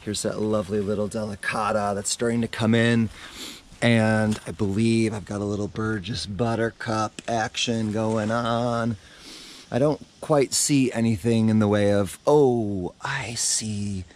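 A man in his thirties, hoarse after losing his voice, hosting a relaxed garden tour outdoors with a lapel mic, talking casually with lots of fillers. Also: on the low side, measured pace, a little hiss or hum. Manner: chatty, relaxed, with many fillers. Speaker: gardening channel host.

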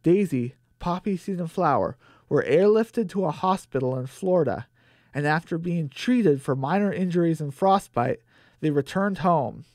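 A man's voice: on the high side, animated, moderate pace, darkish tone, no echo. A man narrating a scripted radio play as its storyteller.

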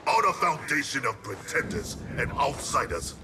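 An actor playing a grave, battle-hardened ninja clan leader in a video game, speaking with formal, solemn intensity. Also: male voice, mid-range voice, very animated, moderate pace, background noise, natural voice. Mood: stern, urgent. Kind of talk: dramatic video game cutscene acting.

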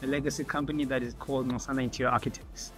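A man's voice: medium pitch, flat delivery, racing, fairly bright tone, faint music behind. A man from South Africa, a contestant speaking confidently about his design plans. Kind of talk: interview.

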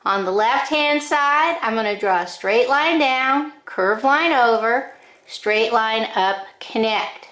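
An older woman giving step-by-step drawing instructions in short, deliberate phrases with frequent pauses, recorded in an echoing room. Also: fairly high pitch, fairly bright tone. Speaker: drawing teacher. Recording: roomy, echoing indoor sound.

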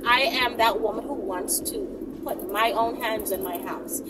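A woman's voice: a little high, unhurried. A woman, talking emphatically and sassily to camera in a casual, opinionated vlog, often tagging points with a questioning okay. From the Bahamas. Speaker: vlogger. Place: inside a moving car. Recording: distant mic, noisy moving car. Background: road and vehicle noise.

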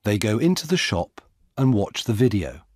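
A man narrating a simple story as an audiobook reader, with careful, deliberate enunciation and expressive delivery. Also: on the low side, dry recording, studio quality. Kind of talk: slow, scripted graded-reader story narration.

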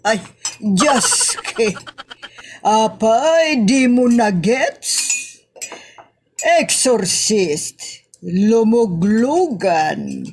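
Actress as middle-aged Ilocano auntie, comedy drama: very slow, drawn-out, exclamatory, faint noise behind. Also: female voice, a little high, expressive, a little mellow. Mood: baffled, exasperated.

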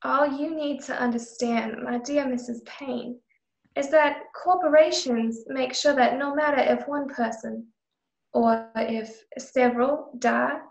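A woman's voice: sits high, takes it slowly, creaky, exaggerated voice. A woman playing a haughty, condescending widow who runs a frontier business, in a radio drama. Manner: condescending, imperious. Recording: roomy, echoing home recording.

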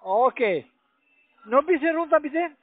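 A man with a foreign accent in his German, a call center agent speaking haltingly in a phone conversation. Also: very high voice, expressive, dry recording. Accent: foreign, non-native German. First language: not German. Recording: tinny phone line.

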